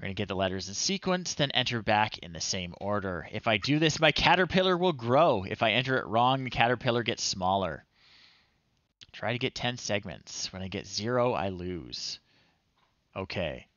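Middle-aged male game streamer, bright forceful tone, lowish voice, wry and unscripted, slightly lo-fi close mic. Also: expressive, normal speed, dry recording. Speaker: retro game streamer, host. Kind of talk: casual live game-stream commentary, reading on-screen text aloud. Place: small indoor room, livestream setup.

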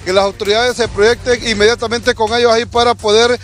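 A man's voice: very high-pitched, little pitch movement, fairly quick, a bit bright. A man with a Honduran Spanish accent giving a live on-scene news report outdoors, urgent and restless with many fillers. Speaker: field reporter. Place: outdoors at a burned house.

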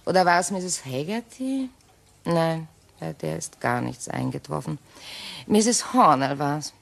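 An ageing widow in a crime radio play. Her voice sits on the low side and moves slowly, with hesitant, dramatic pauses. She sounds wistful and rattled. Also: expressive, gravelly, full character voice. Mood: wistful, musing.

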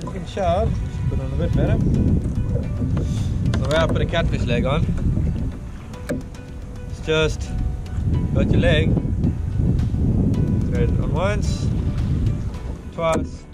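A man's voice: a little high, slow, pauses often. A young man with a South African accent casually commentating on his fishing in an informal, slangy way, outdoors on a kayak at sea. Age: young adult. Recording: loud music over the voice. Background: loud music.

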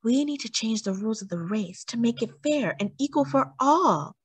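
A woman's voice: fairly high pitch, animated, moderate pace, husky, voice pushed up. Insistent, principled female race car voiced by a woman. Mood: soft pleading. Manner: earnest, insistent.

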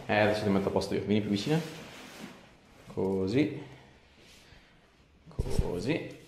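A man's voice: lowish voice, lively intonation, a little slow, a little mellow. A male chiropractor, coaxing a patient through treatment in short, repeated directions. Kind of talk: hands-on instructions to a patient during a treatment. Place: small clinic treatment room, indoors. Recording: echoey small room with clear background noise.

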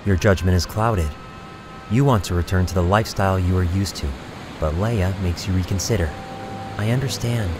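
An actor playing a solemn, calm young mystic warrior, earnest and measured, in a fan film drama. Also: male voice, low-pitched, background noise, close to an everyday voice.

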